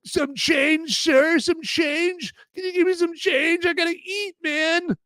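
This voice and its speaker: A man's voice: steady tone, takes it slowly, fairly bright tone, dry recording, exaggerated voice. A man voicing a whining male panhandler who begs passers-by for spare change. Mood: pleading. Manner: pleading, high and strained.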